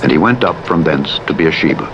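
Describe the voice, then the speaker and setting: Low male voice, solemn scripture narrator, measured delivery, heavy vehicle noise behind. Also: sing-song, dull audio. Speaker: Bible narrator. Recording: loud truck engine noise over playback. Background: virtual truck driving sounds from a simulator game.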